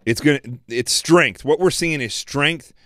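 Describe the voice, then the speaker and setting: A man hosting a political commentary livestream, preaching emphatically and repeating phrases, his voice going hoarse. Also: fairly high pitch, measured pace, fairly bright tone, no echo, clean studio sound. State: losing his voice. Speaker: show host.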